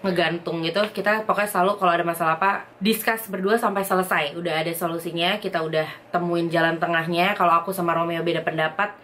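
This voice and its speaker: A woman's voice: fairly low pitch, a little hiss or hum. A young woman from Indonesia chatting casually as a vlogger, reading out viewer questions and answering them at home. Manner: lively, chatty, quick bursts, reads questions aloud. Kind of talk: casual chat.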